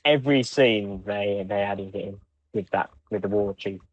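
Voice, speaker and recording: Low-voiced man, dull lo-fi mic. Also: moderate pace, no room sound. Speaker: podcast co-host. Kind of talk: casual chat reviewing audio drama.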